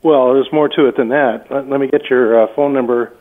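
A man's voice: medium pitch, steady tone, a little fast. A man on a phone line, questioning firmly and incredulously as a homeowner in conversation. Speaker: homeowner receiving a prank call. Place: on a phone call. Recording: tinny narrowband phone line.

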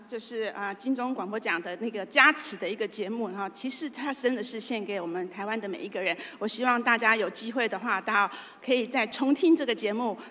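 A middle-aged woman with a Taiwanese Mandarin accent giving an acceptance speech as an award winner, often ending phrases with soft particles. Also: a little high. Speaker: award winner, media executive. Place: large indoor theatre stage. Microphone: handheld microphone. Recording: reverberant theatre stage sound.

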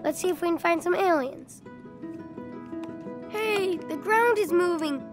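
A playful ten-year-old boy chatters to himself in a very high, childlike voice. He speaks slowly and with wonder as he invents adventures, and faint game music sits beneath him. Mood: excited play. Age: child figure. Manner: excited, playful, talks to himself. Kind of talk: video game voice acting.

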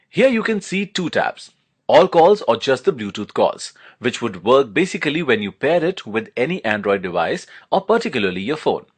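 A man's voice: medium pitch, slightly rough. A man narrating a scripted product demonstration, explaining things step by step in an instructional manner.

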